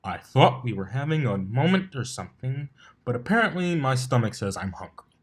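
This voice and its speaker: A man's voice: medium pitch, sing-song, moderate pace, husky. Man as a cocky, grumbling pegasus stallion. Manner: casual, sarcastic.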